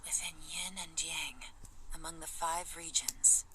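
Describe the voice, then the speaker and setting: Game role: composed mystic woman, very low register, solemn and measured, noisy background. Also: fairly even intonation. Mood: solemn incantation. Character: composed woman working a mystical compass, chanting incantations. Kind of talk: video game voice acting.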